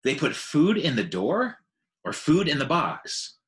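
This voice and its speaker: Guy doing a curious baby girl elephant from a kids storybook, pitched up, childlike and full of questions. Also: sing-song, measured pace. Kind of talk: children's book read aloud.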